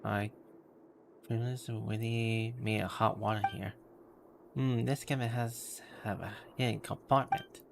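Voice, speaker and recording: A man's voice: lowish voice, steady tone, measured pace, gravelly, noisy background. A man livestreaming a game walkthrough, talking casually and hesitantly to himself as he plays. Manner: hesitant, muttering to himself while playing. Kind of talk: casual live walkthrough commentary. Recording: loud game music behind voice. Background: loud game music.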